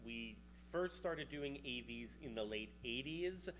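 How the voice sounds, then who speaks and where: A man's voice: medium pitch, expressive, takes it slowly, fairly bright tone, rough recording. A man in his thirties with a New York accent, presenting at a conference in an easygoing, chatty way. His voice sits in a middle register. A faint hum runs under the recording. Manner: casual and chatty, with a joking aside here and there. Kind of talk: conference talk.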